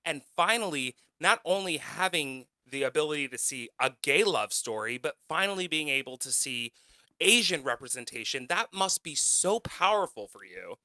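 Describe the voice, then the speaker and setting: A man's voice: on the high side, lively intonation, moderate pace, bright, forceful tone, no room sound. A man hosting a podcast, earnestly retelling a story and leading into a question. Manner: storytelling, earnest, quotes others. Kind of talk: podcast conversation.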